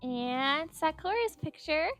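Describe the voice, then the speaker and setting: A woman with a high, light voice chatting through a speedpaint voice-over. She rambles, giggles often and fills her sentences with "like". Soft music plays faintly behind her. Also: animated, slow. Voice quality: speaks higher than her normal voice on mic. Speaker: artist narrating a speedpaint. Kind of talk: casual voice-over commentary. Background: soft background music.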